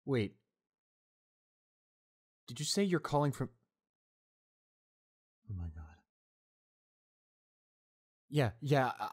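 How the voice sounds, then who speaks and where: Man acting a slow, halting, guilt-stricken boyfriend. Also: medium pitch, darkish tone, slightly rough. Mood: confused, then shocked. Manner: halting, broken phrases, sighing. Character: guilt-stricken young boyfriend, distraught and tender, protective. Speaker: voice actor in an audio roleplay. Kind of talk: intimate boyfriend roleplay audio drama, ASMR.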